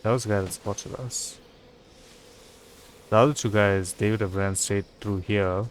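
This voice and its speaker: Low-voiced man casually commentating gameplay. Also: steady tone, unhurried, faint music behind. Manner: casual, muttering thoughts aloud. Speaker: video game streamer.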